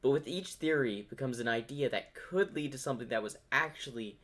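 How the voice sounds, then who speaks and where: A man's voice: mid-range voice, lively intonation, brisk, a bit bright. Male reaction host, mid-range voice, casual fan commentary with a rambling, theorizing manner.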